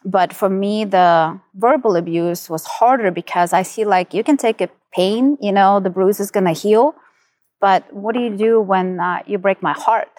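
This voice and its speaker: A woman's voice: mid-range voice, normal speed, darkish tone, dry recording. A middle-aged woman with a Ukrainian accent, telling her personal story as an interview guest. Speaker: podcast guest, entrepreneur.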